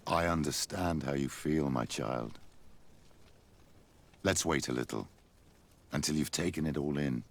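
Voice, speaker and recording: A man's voice: extremely low pitch, measured pace, long pauses, full character voice. An actor playing a sly, ambitious old clergyman burdened by guilt, speaking formally and theatrically in a video game. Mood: solemn. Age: older. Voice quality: gravelly, husky. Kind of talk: video game cutscene acting.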